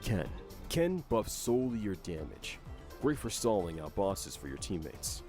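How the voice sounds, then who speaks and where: A man with a fairly low voice narrates a scripted game explainer. His intonation is lively, over faint background music. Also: measured pace.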